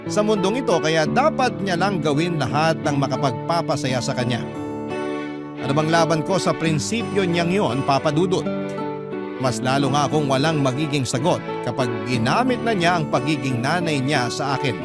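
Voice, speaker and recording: A Filipino man narrates a radio drama in a fairly high voice. His storytelling is scripted, expressive and sentimental. Loud guitar music runs underneath him.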